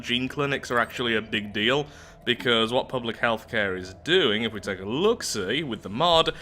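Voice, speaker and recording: Bright-voiced man commentating a strategy game. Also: medium pitch. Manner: chatty, thinking aloud. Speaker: gaming YouTuber host.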